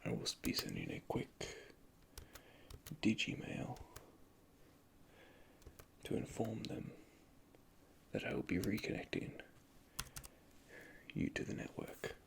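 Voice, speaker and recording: Man, low and very gravelly whisper, calm methodical technician for an ASMR roleplay, close mic. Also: takes it slowly, long pauses, slight background noise. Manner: soft, calm, methodical, speaks in technical jargon. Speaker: ASMR creator playing an android repair technician.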